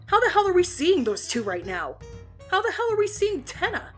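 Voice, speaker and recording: A woman playing a hot-headed, gruff teenage girl who speaks bluntly and swears freely, with a soft spot underneath, in an audio drama. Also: extremely high pitch, huge pitch swings, normal speed, faint music behind, heavily acted. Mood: brash, startled.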